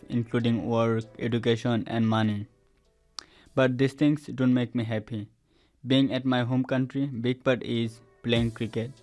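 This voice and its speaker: Even-toned young man narrating. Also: medium pitch. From Bangladesh. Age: teens to young adult. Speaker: student narrator. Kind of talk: first-person scripted narration. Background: soft instrumental music.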